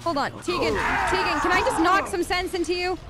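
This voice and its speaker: A woman's voice: very high-pitched, brisk, over loud music. A woman hosting a let's play, chatty and improvising in-character replies as she reacts aloud to the game.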